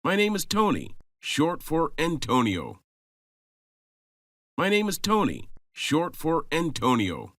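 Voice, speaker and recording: A man playing a helpful, friendly classmate in a slow scripted dialogue for English learners, saying each line twice. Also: fairly high pitch, wildly swooping pitch, a bit bright, no echo, studio quality, full character voice.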